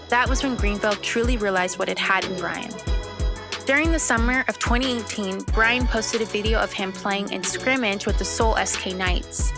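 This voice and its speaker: A woman's voice: medium pitch, close to an everyday voice. A woman acting as a determined teenage girl, an aspiring private eye and school reporter, in a scripted audio drama. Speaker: narrator and lead actor. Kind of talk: scripted audio drama, first-person narration and dialogue.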